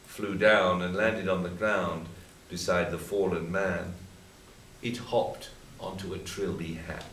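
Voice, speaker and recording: Low-voiced man giving a steady prose reading, captured from a distance in an echoey hall with faint background noise. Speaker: co-author at a reading. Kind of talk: reading prose aloud to an audience.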